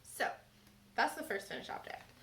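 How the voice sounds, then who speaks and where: A woman hosting a knitting podcast, chatting casually as she shows her projects. She is stuffy from a cold and talks in a relaxed way with frequent ums. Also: normal speed. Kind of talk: casual show-and-tell chat. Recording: echoey room with faint background noise.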